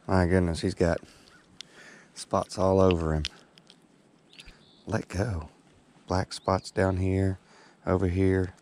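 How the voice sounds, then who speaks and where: A man narrating his own kayak fishing in quiet, muttered running comments, recorded outdoors on a river with a head-mounted action camera. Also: sits low, little pitch movement, slow pace, pauses often, a little hiss or hum. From Tennessee. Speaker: fishing guide.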